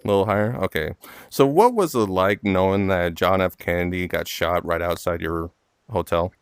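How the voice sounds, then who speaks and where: A man's voice: sits low, normal speed, smooth, no room sound, clean studio sound. A man hosting a casual golf game with friends, telling crude jokes and laughing often. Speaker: host and golf player. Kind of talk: casual gaming banter.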